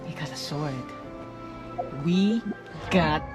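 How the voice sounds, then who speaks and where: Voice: medium pitch, slow pace, loud music behind the voice. A gaming streamer reacting casually to gameplay, repeating phrases and drawing out exclamations.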